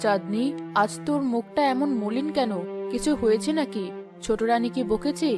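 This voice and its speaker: Cautious fairy-tale mother, acted by a woman: middle-aged, concerned, advising, noticeably lower in pitch than a young girl. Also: normal speed, music in the background, lightly acted. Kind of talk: animated fairy-tale dialogue.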